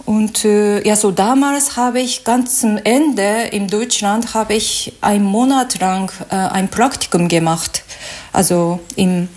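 Slow, halting woman with Japanese accent. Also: medium pitch, a little mellow. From Suwa, Nagano, Japan. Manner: halting, searching for words. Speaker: interview guest, glass artist. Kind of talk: informal interview account.

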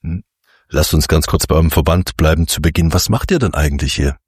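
A man's voice: very deep voice, a little fast, husky, no room sound, studio quality. A man working as a radio host, narrating and interviewing for a series.

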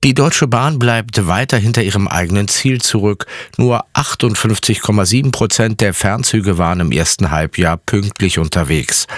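Deep-voiced male radio newsreader. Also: no echo, studio quality. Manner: even, formal news delivery. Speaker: news anchor. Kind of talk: read radio news bulletin. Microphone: studio microphone.

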